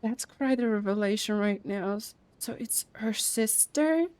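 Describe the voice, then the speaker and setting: A woman's voice: medium pitch, a little slow, a little mellow, dry recording. A woman casually commenting on a game playthrough, musing and repeating the dialogue aloud. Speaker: gameplay commentator.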